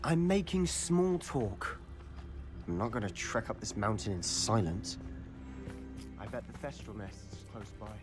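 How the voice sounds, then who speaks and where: A man's voice: lowish voice, lively intonation, measured pace, music in the background. A man playing a hot-headed, impatient teenage schoolboy wizard in a video game, with a British accent and a brusque, sardonic manner. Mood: brisk, wry. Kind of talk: video game character acting.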